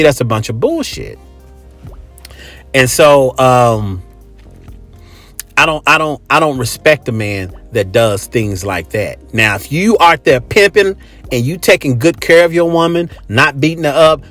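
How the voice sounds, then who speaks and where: A man's voice: mid-range voice, fairly bright tone, no room sound. An American man hosting a casual, opinionated monologue, speaking bluntly and emphatically with swearing and repeated phrases. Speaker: host. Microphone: close microphone.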